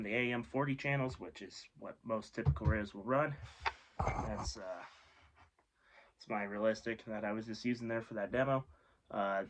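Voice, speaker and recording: A man giving a casual, informal explainer as a video host, rambling and offhand, often correcting himself. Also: fairly low pitch. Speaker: host of a radio hobby video series.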